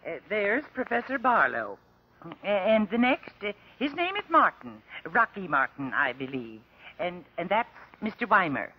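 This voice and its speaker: An actor playing a hesitant, deferential witness who stammers through testimony in an old radio drama. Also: male voice, high voice, very animated, husky, exaggerated voice. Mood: hesitant, earnest. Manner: halting, stammering over words. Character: hesitant, deferential switchboard witness at murder inquest. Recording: dull, lo-fi old radio recording.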